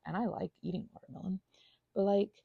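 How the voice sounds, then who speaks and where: A woman's voice: mid-range voice, fast talker, vocal fry, breathy edge, no echo, narrow, tinny audio. A woman giving a scattered, playful, self-deprecating improvised ramble, trailing off into tangents and asides and sometimes dropping to a near whisper. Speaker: audio channel creator. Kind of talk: improvised stream-of-consciousness ramble while finger painting.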